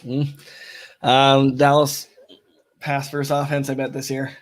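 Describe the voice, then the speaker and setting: Slightly raspy young man, flat delivery. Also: mid-range voice, slow pace. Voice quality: a bit raspy. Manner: flat, matter-of-fact delivery with fillers. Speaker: co-host. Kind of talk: casual fantasy football chat.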